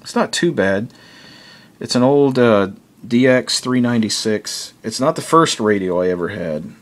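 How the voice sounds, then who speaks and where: A man's voice: medium pitch, animated, normal speed. An American man giving a casual, unscripted explanation as a video blogger, talking in a rambling, conversational way. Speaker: video blogger, radio hobbyist.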